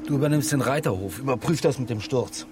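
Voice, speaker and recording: An actor playing a sober, persistent chief inspector in a radio crime drama, measured and matter-of-fact. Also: male voice, medium pitch, over music, close to an everyday voice. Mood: brisk. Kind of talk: naturalistic German radio drama acting.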